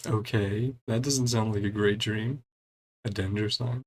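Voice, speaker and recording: A man's voice: on the low side, steady tone, unhurried, rough recording. A man giving casual gameplay commentary, reading game dialogue aloud and adding wry, chuckling reactions in a laid-back way. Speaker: gaming streamer doing a playthrough.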